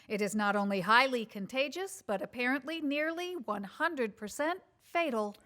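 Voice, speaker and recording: A woman playing a prim, uptight TV news anchor in a comedy sketch, formal and deadpan. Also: fairly high pitch, moderate pace. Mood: formal, serious. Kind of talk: scripted newsreading in a comedy sketch.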